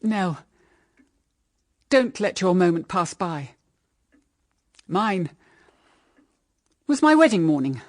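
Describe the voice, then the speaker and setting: A woman's voice: medium pitch, no echo, studio quality. An actress with a British accent playing a middle-aged widowed mother, solemn and anguished, in radio drama style. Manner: solemn, intense, melodramatic. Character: anguished, bitter widowed mother pleading with her daughter.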